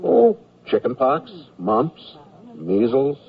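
An actor playing a wry, weary war correspondent drafted into the Army, with dry deadpan delivery in a radio comedy drama. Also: male voice, medium pitch, slow, creaky, heavily acted. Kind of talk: 1970s radio comedy drama acting and narration. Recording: dull lo-fi 1970s radio broadcast, music behind.

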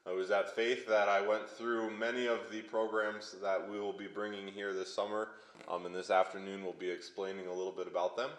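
A young man from Pennsylvania, a pastor, giving a sermon testimony in a halting way with many fillers. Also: lowish voice, little pitch movement. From Pennsylvania, USA. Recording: echoey hall.

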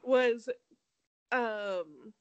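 A woman's voice: on the high side, very slow, clean tone, no echo, highs cut off. A young woman from Connecticut co-hosting a casual gossip chat, blunt and catty, laughing often and filling with ums and likes. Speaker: podcast co-host.

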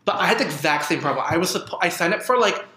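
A young man in his twenties co-hosting a casual TV recap chat, animated and exaggerated, saying "like" constantly. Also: high-pitched, very fast. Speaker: co-host. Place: room in Canada. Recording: roomy echo.

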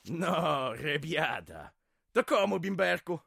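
A man's voice: a little high, very animated, slow, gravelly, pushed and bright, husky, no room sound, studio quality, heavily acted. An actor playing a drunken, violent middle-aged village man in an audio drama, ranting vulgarly with swearing and threats. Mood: agitated.

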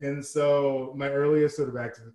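Animated, playful man in his thirties. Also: mid-range voice, normal speed. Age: 30s. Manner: animated, playful storyteller with sassy asides. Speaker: podcast guest. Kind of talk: casual interview chat.

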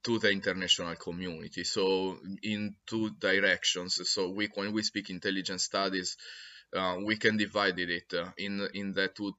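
A man from Italy with an Italian accent, answering interview questions haltingly with many fillers and restarts. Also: low-pitched, flat delivery, sharp tone, dull audio. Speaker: academic guest.

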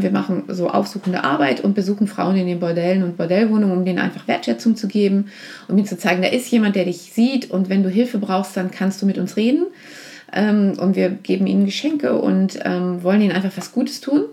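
A woman speaks earnestly as a podcast guest, her voice gravelly with plenty of creak. She often pauses on fillers and restarts her sentences, and her pitch sits in the middle range. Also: a little mellow. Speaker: guest from a support organization. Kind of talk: podcast interview.

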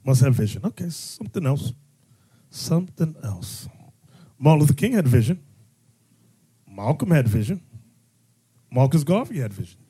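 Husky preaching man, emphatic bursts. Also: on the high side, sing-song, normal speed, pauses often, warm tone. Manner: call-and-response, rising emphatic bursts. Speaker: bishop teaching a congregation. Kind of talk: sermon-style teaching.

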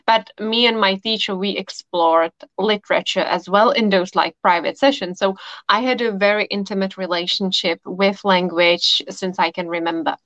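A woman from the Republic, a podcast guest telling stories in a heartfelt, unhurried, reflective way. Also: mid-range voice, steady tone, fairly bright tone, no echo. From Czech Republic. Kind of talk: warm storytelling chat. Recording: dull dry lo-fi audio.

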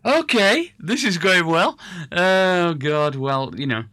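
A man interviewing a guest in a relaxed, rambling way, full of hesitations and restarts. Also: high voice, takes it slowly, no room sound, clean studio sound. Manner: rambling, hesitant, self-correcting. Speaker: interviewer and musician. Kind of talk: casual conversational interview.

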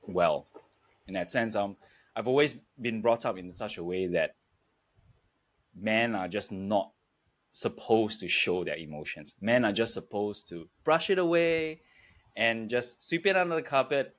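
A man speaking as an interview guest, reflecting in a halting way with pauses between short phrases. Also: on the low side. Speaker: podcast guest, entrepreneur.

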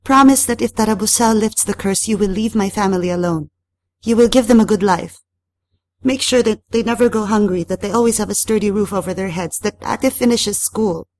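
A woman's voice: medium pitch, a little fast, few pauses, warm tone, no room sound, pitched above the performer's own voice, natural voice. A woman voicing a frightened but defiant young girl in a storybook, urgent, emphatic and fiercely protective. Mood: urgent demand.

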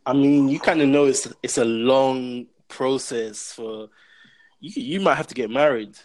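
A man's voice: medium pitch, a little slow, rough recording. A young man with a London accent, chatting and laughing often as a podcast guest. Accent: London English. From England. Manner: laughs often, animated storytelling. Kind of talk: casual chat.

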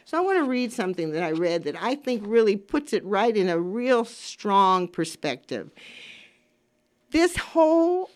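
A woman's voice: medium pitch, very animated, measured pace. A woman in her seventies hosting a talk radio show, speaking casually and emphatically, repeating phrases for stress as she gives her opinions. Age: 70s. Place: radio studio.

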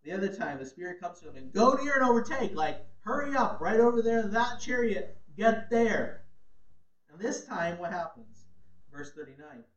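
High-voiced pastor preaching in an echoey hall. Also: male voice, very animated, moderate pace, a bit bright, far from the mic, muffled recording. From Ghana. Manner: emphatic, rises sharply on key points. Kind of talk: sermon.

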